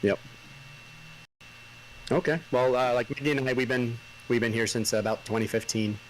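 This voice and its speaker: A man in his forties hosting a casual chat, answering with quick, easygoing affirmations. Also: mid-range voice, steady tone, slight background noise, rough recording. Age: 40s. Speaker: host.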